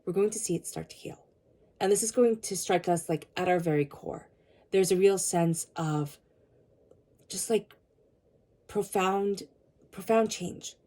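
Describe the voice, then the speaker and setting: A woman with a cold giving a tarot reading, speaking earnestly and slowly repeating her invocations. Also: medium pitch, dark tone. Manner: soothing guided meditation. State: has a cold. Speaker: tarot reader.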